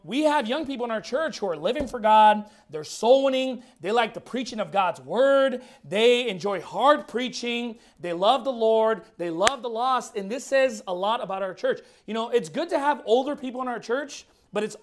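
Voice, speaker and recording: A man in his thirties preaching a fiery, blunt sermon in a church while losing his voice. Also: very high-pitched. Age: 30s. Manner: emphatic, blunt, repeats words. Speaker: pastor.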